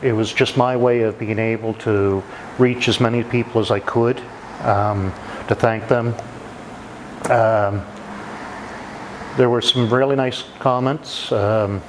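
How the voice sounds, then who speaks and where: A middle-aged man with a Maritime Canadian accent, giving reflective, halting interview answers over clear background noise. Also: medium pitch. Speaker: retiring emergency dispatcher and firefighter.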